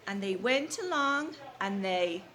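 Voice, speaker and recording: A woman kindergarten teacher reading a storybook aloud to young children, prompting them with questions and repeating deliberately. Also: medium pitch, lively intonation, fairly bright tone. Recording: faint background room noise.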